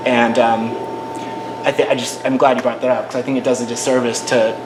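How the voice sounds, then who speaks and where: A man speaking casually on a panel, peppering his talk with fillers. The room around him is echoey, with a steady noise behind. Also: medium pitch, a little fast. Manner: casual, with frequent "like" and "you know". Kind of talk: panel discussion answer.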